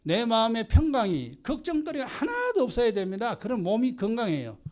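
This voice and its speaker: A man's voice: extremely high pitch, big pitch swings, brisk, a little mellow. A man with a Gyeongsang Korean accent preaching a sermon as pastor, scolding his congregation with rhetorical questions.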